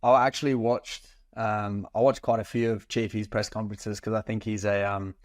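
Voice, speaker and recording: Male co-host, low and even, hesitant and thoughtful, dry recording. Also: brisk, dry recording. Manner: thoughtful, hesitant with many fillers. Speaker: podcast co-host. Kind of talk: casual podcast chat.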